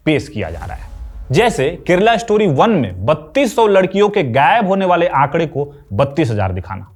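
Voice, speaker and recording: A man with an Indian accent delivering scripted explainer commentary in an emphatic way, with rhetorical questions. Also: on the high side. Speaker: video essay host. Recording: music behind the voice. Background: background music.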